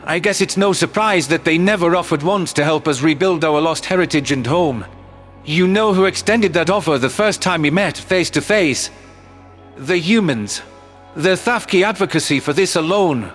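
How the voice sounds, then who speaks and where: A man's voice: a little high, lively intonation, normal speed, fairly bright tone, soft background music, pitched below the performer's own voice. A man voicing a nervous, aggrieved semi-aquatic alien representative making a formal speech in a sci-fi audio story.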